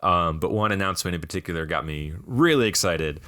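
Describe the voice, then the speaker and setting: An American man with a slightly raspy voice, chatting casually and with some self-deprecation as the host of a video game podcast. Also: deep voice, expressive, quick pace, bright, forceful tone, dry recording, clean studio sound.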